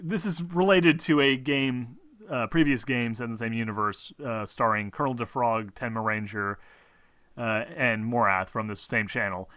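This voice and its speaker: A man runs a tabletop roleplaying session as game master. His voice is bright and very dry, with no room around it. He talks informally, swearing freely and halting as he builds the scene. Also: medium pitch, fairly even intonation, no room sound.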